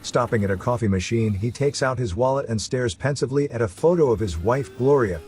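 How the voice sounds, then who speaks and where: A man's voice: medium pitch, expressive, fairly quick, darkish tone, slight background noise. A man narrating a suspense story from a script as the narrator of an audio drama. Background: faint music.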